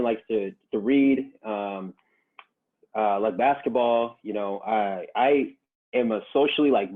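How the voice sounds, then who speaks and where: A young man born in Japan, a podcast guest, chatting in a laid-back way with many fillers and laughs. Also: mid-range voice. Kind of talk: casual podcast conversation. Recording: tinny phone-like line.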